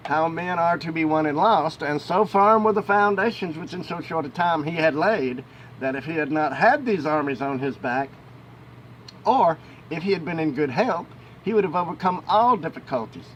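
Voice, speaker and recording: Higher-voiced man reading aloud, noisy recording. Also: highs cut off. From United States. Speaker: amateur audiobook reader. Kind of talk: reading a historical book aloud, with informal asides. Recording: noisy home recording with ambient rumble.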